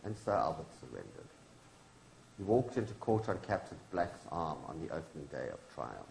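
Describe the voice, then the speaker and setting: A man's voice: rumbling low, sing-song, moderate pace, vocal fry, darkish tone, a little hiss or hum, full character voice. A man reading the part of a grieving, defiant activist's widow in a staged play, solemn and accusing in manner. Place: indoor launch event venue. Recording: echoey room.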